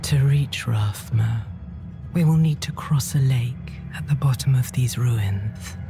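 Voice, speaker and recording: An actress playing a commanding, seductive demon queen in a dark fantasy game, breathy and menacing. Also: a bass voice, music in the background, full character voice. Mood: calm, commanding. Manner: slow, breathy, menacing, imperious.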